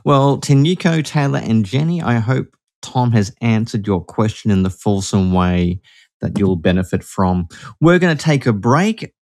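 A man's voice: lowish voice, expressive, moderate pace, darkish tone, no echo. A man hosting a podcast, reading listener questions aloud and putting them to his guest in an upbeat way. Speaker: host.

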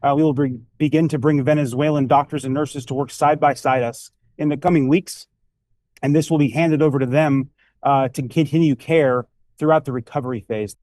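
A male charity director gives a practical update from the field. His voice is mellow and fairly high for a man. The sound is dry. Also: steady tone, a little fast.

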